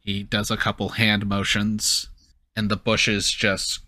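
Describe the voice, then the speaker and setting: A man's voice: lowish voice, monotone, takes it slowly, sharp tone, no echo. A man narrating as game master for a tabletop game, in a casual, rambling way full of fillers.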